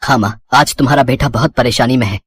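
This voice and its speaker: A man's voice: mid-range voice, fast talker, fairly bright tone, husky, no room sound. A man voicing a troubled, remorseful young son in a moral story, earnest and plaintive.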